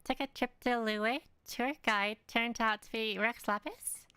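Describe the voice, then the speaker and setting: A woman streaming a game in a bright, very clear voice of medium pitch. She tosses out casual, playful asides, recorded close and dry. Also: steady tone, unhurried, no echo. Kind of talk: casual reaction commentary while playing.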